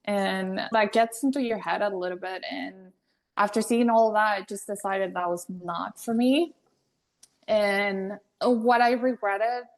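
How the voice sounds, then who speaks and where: Chatty young woman from Venezuela. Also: medium pitch. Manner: chatty, laughs often, restarts sentences. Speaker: podcast guest. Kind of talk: casual interview conversation.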